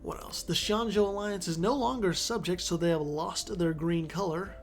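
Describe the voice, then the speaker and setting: Chatty congested man over soft music. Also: high-pitched, normal speed. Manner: chatty, rambling, self-correcting. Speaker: game commentator. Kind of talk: casual strategy game commentary.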